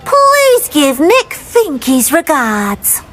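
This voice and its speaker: A woman voicing an eager, servile little female house-elf in a video game, with a fawning, drawn-out delivery. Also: high-pitched, huge pitch swings, fairly bright tone, a little hiss or hum, full character voice. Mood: eager, obliging. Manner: fawning, refers to self in third person, drawn-out delivery. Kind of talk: video game character acting.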